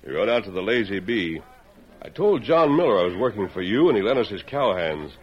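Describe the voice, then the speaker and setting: An American man playing a calm, resolute masked lawman hero in an old radio western, with a crisp, commanding manner. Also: on the low side, a little fast. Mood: stern, calm. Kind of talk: 1940s radio western acting. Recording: muffled old radio recording.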